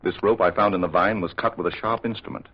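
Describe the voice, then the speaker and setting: A low, husky voice as a former thief now helping a detective in a radio mystery. He is frank and wary, with respect for his companion, heard through a dull old broadcast recording. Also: steady tone, fast talker. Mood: uneasy. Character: reformed thief turned sleuth, wary loyal companion. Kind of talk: radio drama acting.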